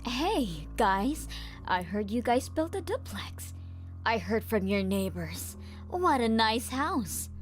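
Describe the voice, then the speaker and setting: A woman acts out a shameless runaway mother in a comic manga dub. The voice is raised high and wheedles with false sweetness, over music. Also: sing-song, slow pace. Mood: falsely friendly.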